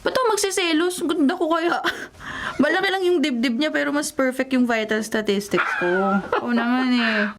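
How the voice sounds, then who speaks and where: A woman's voice: high-pitched, normal speed, a little hiss or hum. An actress with a Filipino accent playing a proud, defensive young woman in a radio drama, bantering and in denial about her feelings. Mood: defensive, vain. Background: music.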